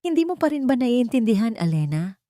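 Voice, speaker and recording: A woman's voice: a little high, sing-song, warm tone, dry recording, clean studio sound, voice pushed up. A woman voicing a gloating, venomous mistress, a smug villainess who draws out her taunts in a dramatic story. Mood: mocking. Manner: taunting, drawn-out mockery.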